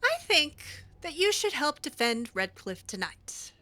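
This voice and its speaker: A woman gives casual in-character commentary on a role-play game. Her voice is fairly high and bright, with lively swings in pitch. She comes across as sassy and assertive. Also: unhurried.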